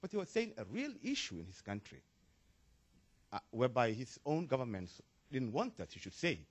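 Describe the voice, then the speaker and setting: A man from Somalia making a spontaneous comment from the floor of a panel, halting and restarting, his emphasis rising as he goes, in a conference hall. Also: mid-range voice, big pitch swings, highs cut off. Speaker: audience member asking a question.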